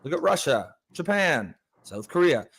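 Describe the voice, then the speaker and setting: A man's voice: on the high side, big pitch swings, measured pace, a bit bright, slightly rough. A Canadian man in a casual political chat, sarcastic and mocking, often restarting with fillers.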